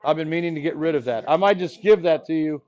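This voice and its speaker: Playful, high-voiced, hoarse math teacher. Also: male voice, fast, darkish tone. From Texas Rio Grande Valley. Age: 40s. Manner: playful, jokey, talks to the class. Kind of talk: classroom lecture. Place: classroom. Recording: dull lo-fi classroom recording.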